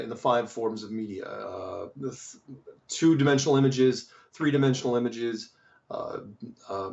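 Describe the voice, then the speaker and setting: A man hosting a show who reads and answers listener mail in a casual, rambling way, his voice slightly hoarse and strained, asking many rhetorical questions. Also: medium pitch, darkish tone, dull audio. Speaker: podcast host reading listener mail.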